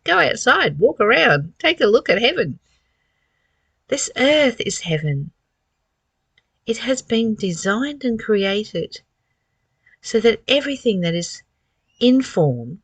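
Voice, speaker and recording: A woman's voice: on the low side, dry recording. A woman giving a spiritual talk as a teacher, reading and reflecting with rhetorical questions.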